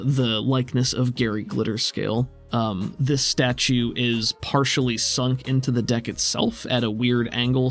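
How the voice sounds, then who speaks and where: A man narrating a tabletop role-playing game as game master, describing scenes in a conversational, explanatory way. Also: mid-range voice.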